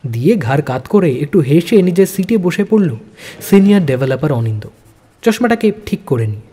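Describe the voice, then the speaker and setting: A man's voice: fairly high pitch, very animated, brisk, warm tone, breathy edge. A man narrating a spy thriller audio story in a dramatic, suspenseful manner.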